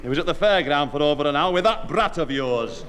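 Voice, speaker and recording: A man's voice: fairly high pitch, lively intonation, fairly quick, a bit bright. An actor playing an intrusive male neighbour eagerly bearing news in a 1960s radio comedy. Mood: insistent. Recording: echoey hall, noisy old radio recording, audience.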